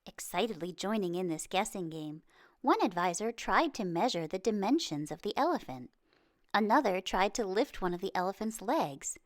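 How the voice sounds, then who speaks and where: A woman narrating a children's storybook in an expressive, careful read-aloud delivery. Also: mid-range voice, moderate pace, dry recording, studio quality. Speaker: children's book reader.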